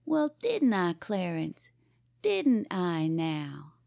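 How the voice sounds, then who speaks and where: A woman's voice: fairly low pitch, huge pitch swings, very slow, creaky, darkish tone, no room sound, clean studio sound, full character voice. A woman voicing a boastful, pompous small boy in a storybook, insistent and given to repeating himself. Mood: scornful boasting. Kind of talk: storybook character reading.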